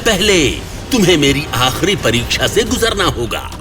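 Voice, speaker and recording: A man playing a mysterious, stern guide in an audio drama, speaking ominously and deliberately as he sets tests. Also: a little high, big pitch swings, background noise. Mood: solemn testing. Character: mysterious stern male guide who tests heroes with riddles.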